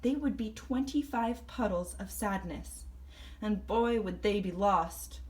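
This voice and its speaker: A woman reading a children's story aloud in first person, expressively and deliberately, acting out the tale. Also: medium pitch, low-quality recording. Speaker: storybook reader.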